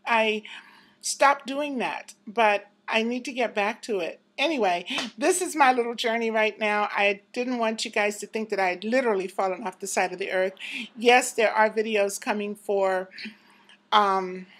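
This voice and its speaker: A woman's voice: mid-range voice, normal speed, fairly bright tone. A woman in her fifties talking casually and reflectively to her viewers from her apartment, close to a handheld camera. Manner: chatty, reflective, laughs often. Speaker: vlogger. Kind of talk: casual personal vlog. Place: apartment room indoors.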